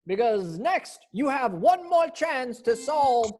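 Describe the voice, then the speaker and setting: A woman's voice: sits high, very animated, slow, a little mellow. A woman hosting and narrating a mystery reading in a theatrical, exclamatory style. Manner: dramatic, exclamatory. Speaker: narrator and host.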